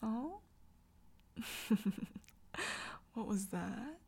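A woman voicing an intense, tender young girlfriend in a whispered audio roleplay. She speaks in a soft, close whisper and stammers when she gets flustered. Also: fairly high pitch, lively intonation, very slow, vocal fry, breathy edge, full character voice. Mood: teasing whisper.

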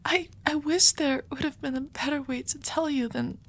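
A woman voicing an anxious, devoted young female vampire who confesses her love in a soft, hesitant whisper, in an intimate audio roleplay. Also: high voice, fairly even intonation, moderate pace. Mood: tender, confessing.

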